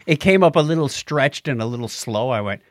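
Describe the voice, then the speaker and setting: A man chats casually as a podcast host in an animated voice that moves freely up and down. The recording is dry and close, and his delivery is wry and jokey, with a techy bent. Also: medium pitch, a little fast, no echo.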